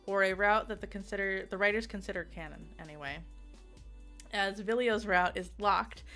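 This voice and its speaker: A woman giving a casual, chatty game review, giggly and excitable, with rambling asides. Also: mid-range voice. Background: music.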